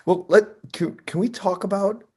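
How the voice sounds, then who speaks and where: A man's voice: sits high, steady tone, normal speed, a little mellow, slightly rough. A middle-aged man hosting a podcast interview, asking questions in a hesitant way and often repeating words. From United States. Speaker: podcast host. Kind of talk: conversational interview.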